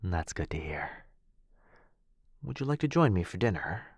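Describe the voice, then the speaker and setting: A man voicing a gentle, courtly male vampire lord in an ASMR boyfriend roleplay. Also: low voice, big pitch swings, normal speed, slightly rough, dry recording, exaggerated voice. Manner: soft-spoken, courtly and reassuring. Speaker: voice actor.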